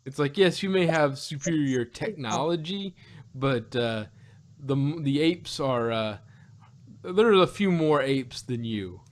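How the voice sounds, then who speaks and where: Halting, argumentative man in casual chat. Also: medium pitch, expressive. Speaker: podcast reviewer. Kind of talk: casual review chat about an audio drama.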